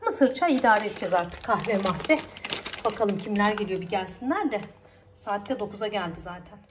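A woman's voice: medium pitch, animated, moderate pace. A middle-aged woman chatting casually and teasingly for a home vlog. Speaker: vlog host. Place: indoors at home, kitchen and living room. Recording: roomy echo, distant mic, faint noise.

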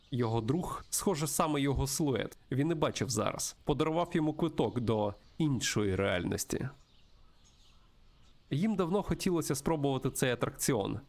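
A man with a creaky voice, hosting a let's-play, reading a visual novel aloud and adding his own commentary. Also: mid-range voice. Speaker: host of a video game let's-play show.

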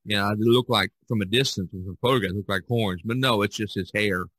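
A man hosting a podcast, talking casually about art in a rambling, halting way with frequent restarts. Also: lowish voice, a bit bright, slightly rough, no room sound. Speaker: podcast host discussing art. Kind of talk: casual conversational discussion.

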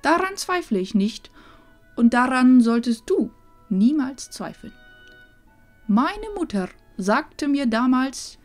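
A woman playing a loving, wise old grandmother in a fantasy audio drama, speaking solemnly and tenderly with breathy phrase endings. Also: sits high, a little mellow.

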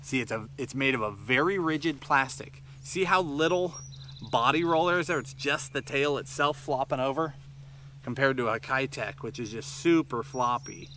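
A man in his thirties giving a fishing tutorial on a boat outdoors, explaining with lively gestures while sweating in the heat. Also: medium pitch, normal speed, a little hiss or hum. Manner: explanatory, animated hand gestures. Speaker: fishing instructor. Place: seated on a bass boat outdoors by a tree-lined shore.

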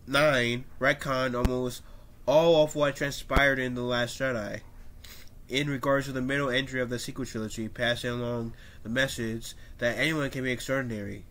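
A young man with a stuffy nose, talking casually to his webcam at home, reading an article aloud and adding his own opinions with lively gestures and frequent coughs. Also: mid-range voice, slight background noise. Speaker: YouTuber. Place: small room at home, indoors.

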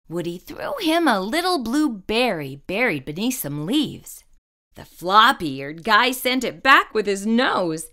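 A woman storyteller reading a children's picture book aloud, expressive and carefully phrased. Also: on the high side.

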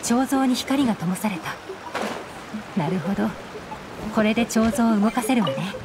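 A woman voicing a confident, brisk, self-assured female fighter in an anime video game. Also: fairly high pitch, lively intonation, noisy background, lightly acted. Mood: composed, confident. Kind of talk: anime game character lines. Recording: loud game music over voice.

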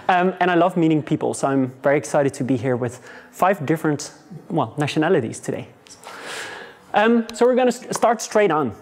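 A young man with a Dutch accent teaching a lively, encouraging beginners' language class, slowing down to model pronunciation. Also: fairly high pitch. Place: large open classroom with students seated around.

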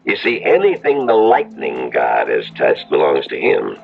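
A folksy American Western townsman for a radio drama. His voice is rock-bottom low and full of creak, with a plainspoken, cautious drawl. The old broadcast sound is muffled. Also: huge pitch swings, a little slow, full character voice. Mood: grave. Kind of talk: 1970s radio drama acting.

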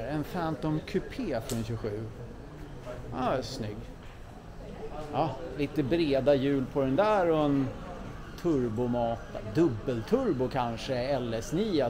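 A man walking through a car museum with a camera, giving enthusiastic casual commentary and often correcting himself as he goes. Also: medium pitch. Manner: chatty, enthusiastic, muses aloud and corrects himself. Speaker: car vlogger touring a museum. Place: indoor car museum hall. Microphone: handheld camera mic, walking. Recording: visitor crowd in background. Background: crowd murmur of museum visitors.

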